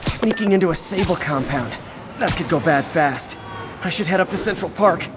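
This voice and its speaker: A man's voice: high-pitched, expressive, moderate pace, over music. An actor playing a quippy, earnest young male superhero who talks to himself in wry asides.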